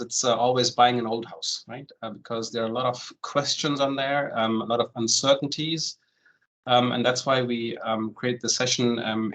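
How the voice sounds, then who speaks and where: A man's voice: medium pitch, rough recording. German-raised man in his forties presenting. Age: 40s. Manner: relaxed, many "um" fillers and tag "right?". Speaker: home loan consultant presenting a webinar. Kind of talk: online presentation, walking through steps.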